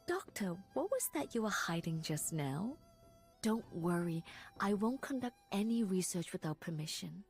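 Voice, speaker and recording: A woman voicing a gentle, refined young scholar and assistant in a game, soft-spoken and breathy, with measured, formal phrasing. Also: mid-range voice, very animated, faint music behind. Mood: gentle, curious. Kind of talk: scripted game character voice lines, close to ASMR.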